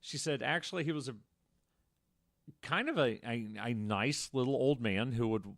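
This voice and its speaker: A man's voice: medium pitch, animated, normal speed, a bit bright, no room sound, studio quality. A man co-hosting a podcast, telling true-crime stories casually in a wordy way full of asides. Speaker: podcast co-host.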